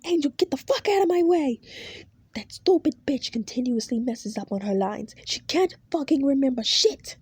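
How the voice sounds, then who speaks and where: A woman voicing a cruel, hot-tempered male boss who shouts and swears. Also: medium pitch, animated, slow pace, long pauses, slightly rough, no room sound. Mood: furious.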